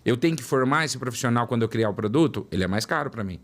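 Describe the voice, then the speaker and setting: A man's voice: medium pitch, lively intonation, fast talker. A man in his forties with a São Paulo accent, a guest telling his career story in an interview and repeating words for emphasis. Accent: São Paulo Portuguese. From São Paulo, Brazil. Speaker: guest, product development director. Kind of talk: interview conversation.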